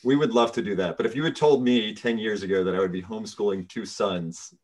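A man's voice: lowish voice, fast talker, darkish tone. A man hosting a podcast, chatting casually and laughing often. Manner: laughs often, friendly and joking. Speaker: podcast host. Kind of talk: casual interview chat.

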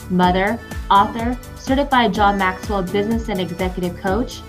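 A woman's voice: medium pitch, moderate pace, over music. A woman hosting a podcast, opening the show and asking her guest friendly, encouraging questions. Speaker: podcast host. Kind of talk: podcast intro and interview.

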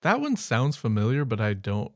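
A man's voice: medium pitch, huge pitch swings, moderate pace, dry recording, studio quality. A man co-hosting a podcast in casual, humorous chat, reading out words and rambling into offhand asides. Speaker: podcast co-host.

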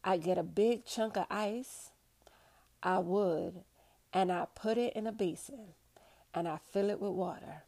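A woman reading in the role of a humble, formerly enslaved woman who recounts wartime nursing in a slow, plainspoken, folksy way. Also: medium pitch, creaky, warm tone. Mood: solemn recollection.

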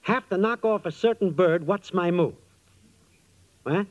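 A man's voice: high-pitched, unhurried. An actor playing a breezy wise-guy schemer in an old-time radio comedy, with cocky, slangy patter. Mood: conspiratorial. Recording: dull old radio recording.

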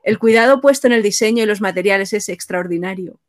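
A woman acts as an interviewer. Her voice sits at a middle pitch, and she moves casually between chatting and reading out questions from the audience. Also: fast.

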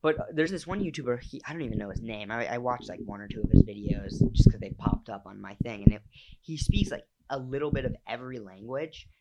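A man's voice: mid-range voice, animated, over a noisy crowd, poor audio. Chatty young man, casual podcast talk. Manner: chatty, quick bursts of mock-quoted voices. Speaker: podcast co-host. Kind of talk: casual chat.

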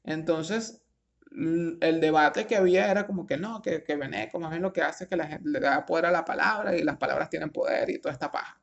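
A man's voice: fairly high pitch, a little fast, creaky, lo-fi sound. A young Venezuelan man with a Venezuelan accent, casually commenting on a news article, rambling with restarts and slang. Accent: Venezuelan Spanish.

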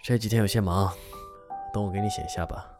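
A man acts a reserved boss in an audio drama, with a deep voice. He speaks in short, understated phrases, composed and quietly caring. Loud music runs behind him. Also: fairly even intonation, fast talker, lightly acted. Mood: calm, reserved. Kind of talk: Chinese audio drama acting.

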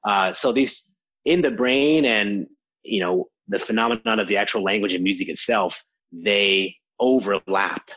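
A man, a language teacher, answering interview questions in an animated way and acting out quotes. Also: on the low side, a little slow, muffled recording. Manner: animated explainer, acts out quotes. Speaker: language teacher, podcast guest.

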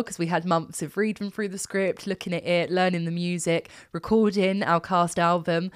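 A woman's voice: lowish voice, clear, resonant tone, no echo, studio quality. A woman hosting a podcast in a conversational interview style, speaking in an effusive, encouraging way. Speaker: podcast host.

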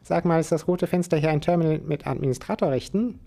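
Man doing a talking squirrel, storybook critter with a nanochip, level and reasoning, raised pitch. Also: quick pace, vocal fry, dark tone. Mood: matter-of-fact. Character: talking gray squirrel with a nanochip, calm and matter-of-fact.

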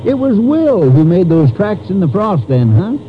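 A folksy small-town sheriff in a fifties radio drama, pitched a little high for a man. He is plain-spoken and dogged. The vintage recording is dull with faint noise. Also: very animated, normal speed. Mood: realizing. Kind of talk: 1950s radio drama acting.

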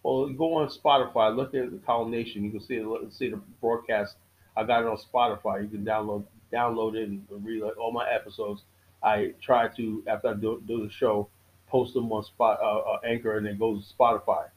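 A middle-aged man hosting his own podcast, giving a casual monologue with a preachy tone, repeating key lines for emphasis, often halting and restarting. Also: lowish voice, little pitch movement, poor audio. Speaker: podcast host.

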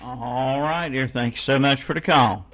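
A man's voice: mid-range voice, a little slow, a bit bright. A man hosting a casual live radio call-in show, folksy and given to repeating phrases and numbers.

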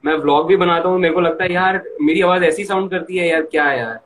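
Young indian man, live show host, very fast, slightly raised pitch, light background noise, code-switching casually. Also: a bit bright. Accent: Indian English. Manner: rapid, mixing English and Hindi, self-deprecating. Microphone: earphones removed, online live call.

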